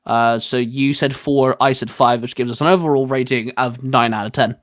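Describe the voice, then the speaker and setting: A man's voice: medium pitch, fairly even intonation, normal speed, heavy background noise. A man in his twenties chatting casually as a co-host of a geeky review show, rambling enthusiastically. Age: 20s. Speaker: co-host of a fan discussion show.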